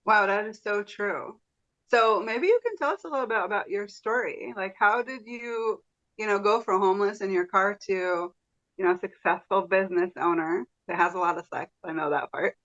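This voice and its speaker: A woman hosting a podcast, greeting her guest warmly and asking questions with enthusiasm. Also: mid-range voice, moderate pace, dull audio. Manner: effusive, enthusiastic. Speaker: podcast host. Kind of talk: podcast interview.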